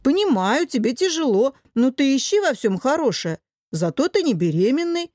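A young heroine of a comic fantasy audiobook, played by a woman narrator. She has a fairly high voice and a patient, soothing side that easily tips into exasperation. The recording is dry. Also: sing-song, moderate pace, a little mellow, no echo. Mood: indignant.

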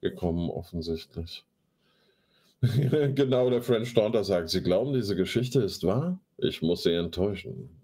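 A man's voice: low voice, animated, a little slow, mellow, breathy edge. A man, sarcastic and slangy, hosting a casual commentary show. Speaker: host of a live online talk show. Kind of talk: casual commentary with mocking read-aloud.

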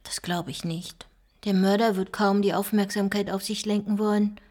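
Actress as sober female investigator, radio drama, mid-pitched, precise and sceptical. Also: flat delivery, moderate pace, darkish tone, close to an everyday voice. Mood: sober, measured. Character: sober, sceptical woman investigator reading out secret files. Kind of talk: radio play dialogue and document reading.

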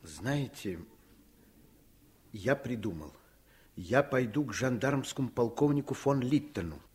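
An actor playing a kindly, stubborn middle-aged doctor and father, husky and courteous, in a radio drama. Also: medium pitch, expressive, unhurried. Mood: determined. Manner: gentle, repeats words, courteous. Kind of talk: Soviet radio drama acting.

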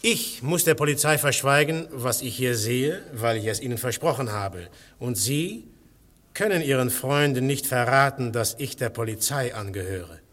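An actor in a radio play playing an urbane philosophical detective, speaking with dry, polite irony. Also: male voice, medium pitch, expressive, normal speed, fairly bright tone, natural voice. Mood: calm, reasoning. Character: urbane, ironic philosophical detective, coolly teasing. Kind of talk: German radio play acting.

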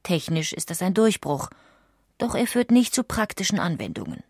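Female narrator, lower register, measured and factual documentary read, dry studio sound, faint music. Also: big pitch swings, darkish tone, slightly rough, dry recording.

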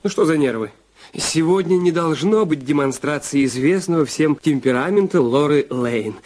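A man's voice: on the high side, expressive, normal speed, darkish tone. A man playing a small, dapper, irritated film publicity chief in a Russian audio drama, nagging and cajoling in a patronizing way. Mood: anxious, cajoling.

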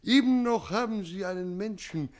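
An actor playing a servile, fussy gardener in a comic radio drama, grumbling in obsequious, pompous phrases. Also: male voice, high voice, normal speed, natural voice. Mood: aggrieved. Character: fussy, aggrieved servile gardener, reportedly tipsy.

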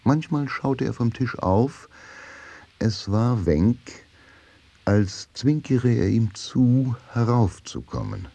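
An actor playing the grave narrator of a radio crime drama, delivering scripted prose in deliberate, suspenseful phrases. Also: male voice, mid-range voice, big pitch swings, gravelly, dark tone, slightly rough, full character voice. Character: grave, measured male storyteller of a crime tale. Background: faint music.

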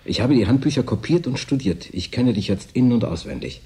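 A man's voice: mid-range voice, lively intonation, fairly quick, mellow, slightly rough. An actor playing a secretive, lonely man who insists he is sane, confiding intensely in a German radio drama.

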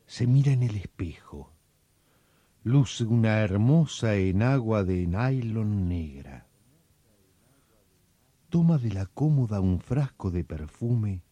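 A man playing the wry, suggestive storyteller of a radio serial, with theatrical, measured scripted narration. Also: lowish voice, warm tone, breathy edge, dry recording, heavily acted. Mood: intimate, suggestive.

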